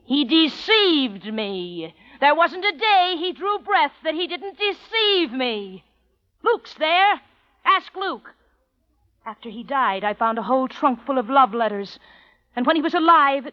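An actress playing a proud, fiery widow in an old radio play, theatrical and prone to loud indignant outbursts. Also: female voice, sits high. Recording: dull old lo-fi recording.

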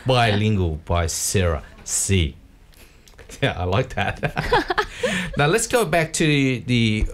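A man's voice: mid-range voice, big pitch swings, slow, a little hiss or hum. A man hosting a community radio talk show, chatty and upbeat, often laughing as he talks. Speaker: radio host. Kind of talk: casual radio interview.

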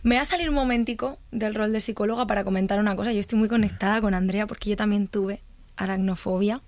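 A woman's voice: mid-range voice, fairly even intonation, quick pace, a little mellow, no echo. A woman from Murcia with a Spanish accent from Spain, a psychologist telling personal stories in casual conversation. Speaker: psychologist, guest on the show.

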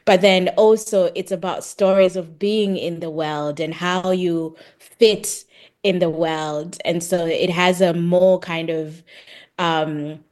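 A middle-aged woman from Zambia with a fairly low voice speaks as an interview guest. She explains herself in a reflective, conversational way, feeling for her words as she goes. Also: a little mellow. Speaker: podcast guest, tech project manager and author.

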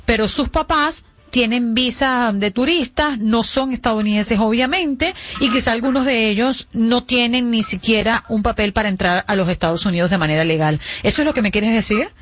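A woman hosting a call-in radio show, taking callers and reacting in a lively way. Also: on the high side, moderate pace, hardly any pauses. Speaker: radio host. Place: radio studio.

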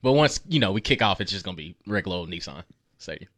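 A man's voice: deep voice, lively intonation, quick pace, a bit bright. A man co-hosting a sports talk show, chatting casually and informally with an agreeable, exclaiming manner.

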